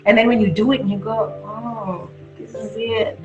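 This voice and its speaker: Candid woman from malaysia chatting as a guest, echoey, music clearly behind her. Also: a little high, fairly even intonation, normal speed. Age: middle-aged. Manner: candid, self-deprecating. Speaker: interview guest. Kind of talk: casual conversational storytelling. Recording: roomy dull audio, clear music behind.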